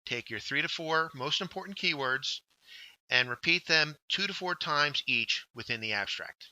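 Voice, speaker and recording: A man narrating a scripted instructional workshop, explaining step by step with deliberate emphasis on key words. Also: on the high side, lively intonation, pushed and bright, dry recording, poor audio. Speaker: workshop instructor, journal editor.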